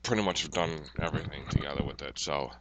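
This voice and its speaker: A man chatting casually as a podcast co-host, in a loose, halting conversational way. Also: a bass voice, fairly bright tone. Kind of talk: casual banter.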